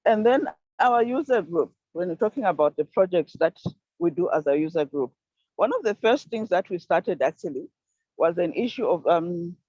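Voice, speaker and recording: A woman's voice: on the low side, a little mellow, no room sound. Older Zambian woman, earnest video call. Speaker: panel speaker. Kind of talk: online conference talk. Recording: dull dry video call audio.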